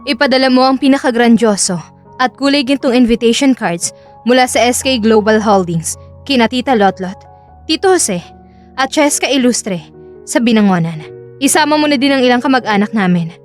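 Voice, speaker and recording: A woman's voice: on the high side, expressive, moderate pace, soft background music, close to an everyday voice. A woman playing a confident, wealthy young businesswoman in an audio drama, speaking in a cool, commanding way.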